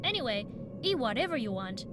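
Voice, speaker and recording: High-voiced, vain, skittish schoolgirl voiced by a woman. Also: sing-song, a little fast, music in the background. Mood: haughty. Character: vain, blunt, skittish female foreign exchange student. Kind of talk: visual novel voice acting.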